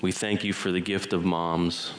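Deep-voiced man leading prayer. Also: little pitch movement, a little hiss or hum. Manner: slow, phrase-by-phrase, reverent. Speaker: worship leader. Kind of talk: spoken prayer. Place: church sanctuary.

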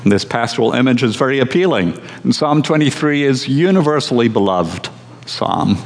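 A man preaching from the pulpit at a middling pitch, unhurried and conversational with touches of dry humor. His voice carries a long reverb from the church hall around him. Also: lively intonation, slight background noise. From Scotland. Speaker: pastor. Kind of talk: sermon.

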